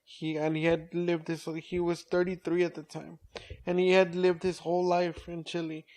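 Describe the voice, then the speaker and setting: A man's voice: a little high, rough recording. A man on a comedy podcast telling an anecdote in loose, casual chat, stopping and restarting as he goes. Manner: animated storyteller, halting restarts. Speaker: podcast co-host or guest.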